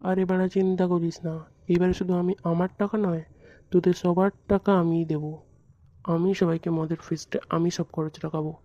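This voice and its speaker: A man's voice: sits high, little pitch movement, brisk, dark tone, dull audio. A man voicing a cocky, broke young slacker in a comic cartoon, brash and foul-mouthed. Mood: boastful, indignant. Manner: brash, swearing, boastful. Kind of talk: comic Bengali cartoon acting.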